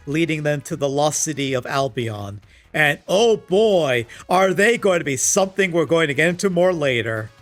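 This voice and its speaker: Male video essayist, higher-pitched, wry and exasperated, casual scripted comedy commentary with faint music underneath. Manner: sarcastic, exasperated; casual, swearing. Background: background music.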